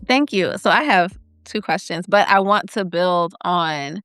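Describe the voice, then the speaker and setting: A woman hosts a podcast interview, building her questions aloud in a searching way. She speaks slowly, with a smooth, clear voice. The sound is dry and close. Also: on the low side, expressive, a bit bright, no echo, soft background music.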